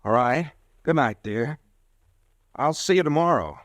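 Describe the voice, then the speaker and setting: A man's voice: a little high, expressive, fairly bright tone. A man playing a nervous young pharmacist caught up in a scheme, in a 1940s radio drama. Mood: guarded. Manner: tense, jittery, fast when scheming.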